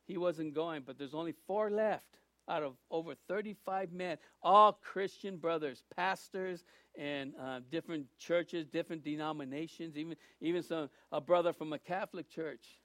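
A man's voice: a little high, moderate pace, fairly bright tone, dry recording. A man, giving a loose, folksy sermon full of restarts and small jokes. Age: 60s. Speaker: preacher. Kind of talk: informal sermon.

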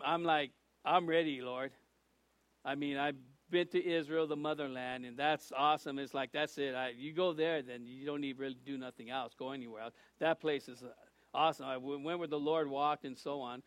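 An older man preaching in a relaxed, rambling way, with asides and little jokes. His voice sits high for a man. The sound is close and dry, with no room echo. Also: normal speed. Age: 60s. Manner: folksy, rambling, with jokes and restarts. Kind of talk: informal sermon.

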